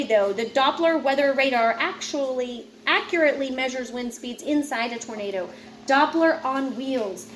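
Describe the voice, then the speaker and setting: A woman's voice: high-pitched, a little hiss or hum. A woman reading a nonfiction book aloud, informally, with casual asides and stumbles she corrects herself. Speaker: read-aloud presenter.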